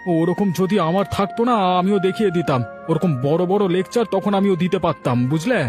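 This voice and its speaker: A man's voice: high voice, fairly quick, over music, natural voice. A man voicing a disgruntled, proud village customer in a moral cartoon, complaining emphatically. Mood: vengeful. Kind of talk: Bengali moral cartoon voice acting.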